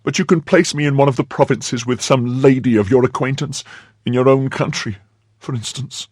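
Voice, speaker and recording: Man voicing a high-pitched, frightened young maid. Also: normal speed, a little mellow, husky, no room sound, studio quality, lightly acted. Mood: desperate, pleading. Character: frightened, pleading young French maid, devoted and emotional.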